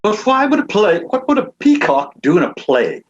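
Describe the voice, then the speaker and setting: Man playing a high-pitched, fussy young gentleman. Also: huge pitch swings, moderate pace. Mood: incredulous. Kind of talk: table read of a period comedy. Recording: dull, lo-fi microphone sound.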